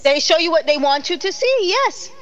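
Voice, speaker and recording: A middle-aged woman hosting a casual online talk show, animated and exclaiming, laughing often. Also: very high voice, normal speed, lo-fi sound. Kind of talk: casual live-stream chat.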